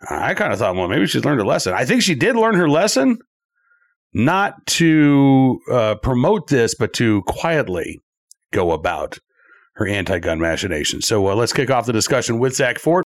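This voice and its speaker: Male host, congested and scratchy, lively pitch, chatty and filler-heavy. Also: moderate pace. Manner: animated, talks in bursts with many fillers. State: stuffed up and scratchy from allergies. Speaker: show host. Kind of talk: conversational political talk show.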